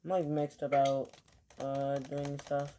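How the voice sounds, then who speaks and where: A man's voice: fairly high pitch, completely flat delivery, slow, warm tone, a little hiss or hum. A man chatting casually to his stream while he plays, rambling with lots of fillers and offhand swearing, keyboard clicks behind him. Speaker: game streamer.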